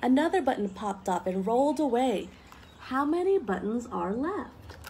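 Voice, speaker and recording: A woman in her thirties with a fairly high voice reads a picture book aloud to children. She is animated and breaks off for chatty asides and questions to her young listeners. It is a home recording made outdoors, with clear ambient noise behind her. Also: moderate pace. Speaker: storyteller reading aloud to children. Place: outdoor wooden deck with trees around. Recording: noisy outdoor home video.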